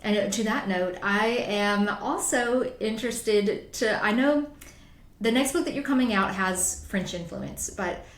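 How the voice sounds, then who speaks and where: A woman's voice: medium pitch, a little slow. A woman hosting a podcast, asking an author questions in an eager, chatty way. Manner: enthusiastic, chatty, laughs easily. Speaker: podcast host. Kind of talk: casual interview chat. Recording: roomy echo, faint background hum.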